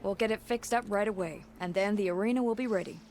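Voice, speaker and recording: A woman's voice: mid-range voice, fast, darkish tone, a little hiss or hum, lightly acted. An actress playing a no-nonsense female arena keeper and trainer in a video game, businesslike and plain. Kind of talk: video game character acting.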